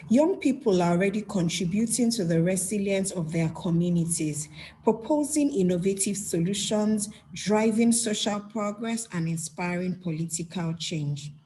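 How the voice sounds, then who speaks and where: Nigerian-born woman, warm mellow voice, formal prepared statement. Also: medium pitch, moderate pace, a little hiss or hum.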